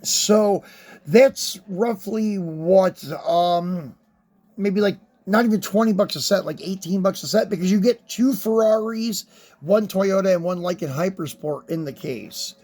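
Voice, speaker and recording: Hoarse, high-voiced man chatting casually. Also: unhurried, a little mellow. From West Virginia, USA. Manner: rambling, friendly, with many ums and uhs. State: hoarse from a sinus infection. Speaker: die-cast collector and channel host. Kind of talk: casual unboxing chat.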